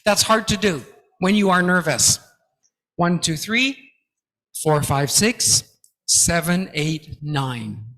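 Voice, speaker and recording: A man leads a presentation-skills workshop in a large lecture hall, his voice high and his delivery slow and deliberate. He speaks emphatically and encouragingly, counting out drills for the audience. The sound rings with the long reverb of the hall. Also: expressive, fairly bright tone. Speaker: guest lecturer. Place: large lecture hall with about two hundred people.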